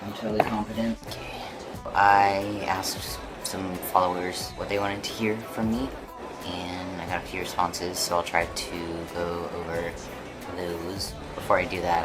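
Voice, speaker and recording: Young guy vlogging at home, low voice, half whispering, loud music behind him. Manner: hushed, half-whispered chatty delivery. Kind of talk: casual talk to camera. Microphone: camera mic on a shaky setup.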